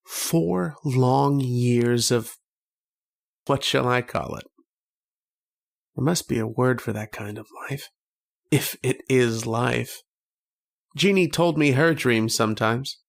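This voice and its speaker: An actor playing a tormented, homesick man trapped in a dream, brooding and halting in an old-time radio horror drama. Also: mid-range voice, creaky. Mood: brooding, dreamy. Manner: brooding, halting, poetic delivery.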